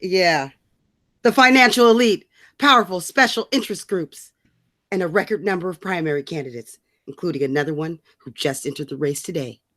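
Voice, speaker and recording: A woman's voice: on the low side, fairly quick, fairly bright tone. Casting: woman co-host, exasperated and slangy, swears freely in loose, chatty rants. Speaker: co-host of political rant show. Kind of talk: casual chat, profane political ranting.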